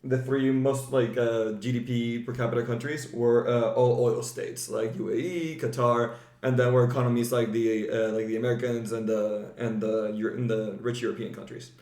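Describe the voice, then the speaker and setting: A man's voice: mid-range voice, steady tone, normal speed, few pauses, darkish tone. A man with a mid-range voice talks as a podcast host in a roomy, echoing space. His delivery is casual and conversational, informal and loosely phrased. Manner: informal, peppers talk with "like". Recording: roomy, echoing room sound.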